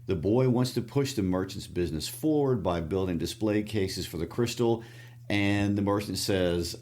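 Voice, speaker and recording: A man with a Midwestern accent, co-hosting a casual book discussion podcast and explaining the story in a relaxed way. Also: deep voice. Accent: Midwestern American. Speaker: podcast co-host.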